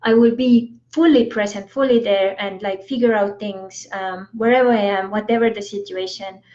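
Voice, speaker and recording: A woman's voice: medium pitch, steady tone, moderate pace, a little mellow. Chatty Estonian-accented woman, lo-fi livestream. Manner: chatty, many fillers like "you know" and "like". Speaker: spiritual coach on a livestream. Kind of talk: casual motivational monologue. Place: home in the US. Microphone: livestream from phone or laptop. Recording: dull lo-fi livestream audio.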